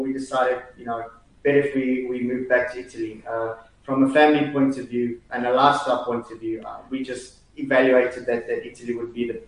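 A South African man in his forties talks as a guest on a chat show, hesitant and full of fillers and restarts. His voice sits at an ordinary pitch and rings out in a very echoey room. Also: recorded from a distance. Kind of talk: casual chat.

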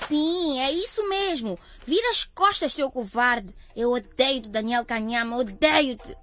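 A woman's voice: sits high, expressive, unhurried. A young woman playing a wounded but defiant schoolgirl in a radio drama. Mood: bitter, angry. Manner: emotional, indignant. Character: wounded, defiant teenage schoolgirl standing up for herself.

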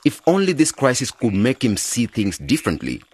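A man playing an earnest, driven young village project leader with a husky voice in a radio drama. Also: medium pitch, huge pitch swings, moderate pace, darkish tone, a little hiss or hum. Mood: persuasive.

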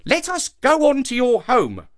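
A man voicing a clever, excitable monkey in an animal folktale, exclaiming theatrically with indignant, crafty airs. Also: high voice, measured pace, pitched above the performer's own voice, exaggerated voice.

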